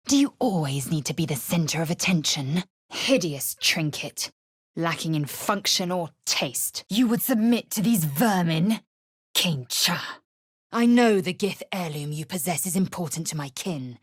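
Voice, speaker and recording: A woman voicing a fierce, proud githyanki warrior in a video game, imperious and clipped in manner, with a husky, breathy voice. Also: on the low side, dry recording, clean studio sound. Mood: haughty.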